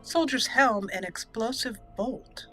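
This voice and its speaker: A woman's voice: fairly high pitch, takes it slowly, fairly bright tone, faint music behind. A woman hosting a let's play, giving casual, playful commentary and chatting to the game's characters. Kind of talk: casual live gameplay commentary.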